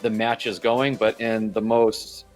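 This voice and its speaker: A man's voice: lowish voice, flat delivery, measured pace, faint music behind. A man who works as an esports caster, answering interview questions in a casual, conversational way.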